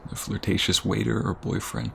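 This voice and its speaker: Man acting a very low-voiced, tender boyfriend. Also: flat delivery, normal speed, a little hiss or hum. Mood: devoted, happy. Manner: intimate, earnest, often hushed and breathy. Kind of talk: ASMR romantic boyfriend roleplay.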